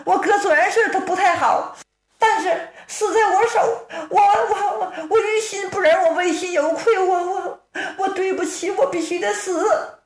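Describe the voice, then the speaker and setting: A woman voicing a distraught young woman grieving her brother, shrill and stammering through sobs. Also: fairly even intonation, a little slow. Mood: anguished. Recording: roomy echo.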